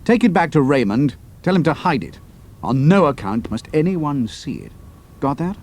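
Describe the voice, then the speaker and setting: An actor playing a shrewd, cool-headed British pilot in an audio drama, speaking in a clipped, dry, understated manner. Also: male voice, on the high side, big pitch swings, moderate pace, darkish tone. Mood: brisk, wry.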